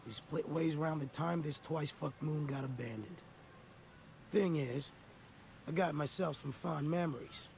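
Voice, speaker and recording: A man's voice: fairly high pitch, measured pace, vocal fry, darkish tone, a little hiss or hum. An actor playing a grizzled older male ex-mercenary in a game, talking in a folksy, rambling drawl. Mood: weary. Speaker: game character.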